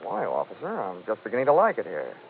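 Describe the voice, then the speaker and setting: An actor playing a wry, tough, deadpan male insurance investigator in a vintage radio detective drama. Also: fairly low pitch, fast, vocal fry, slight background noise, full character voice. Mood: wisecracking. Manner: deadpan, sardonic. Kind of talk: 1940s radio detective drama acting with narration. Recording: muffled vintage radio recording, music underneath.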